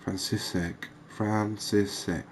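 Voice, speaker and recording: A man with a low, even voice gives ASMR game commentary at a very slow pace. He is soft-spoken and leaves pauses as he thinks aloud, over faint ambient noise. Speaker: gaming video host.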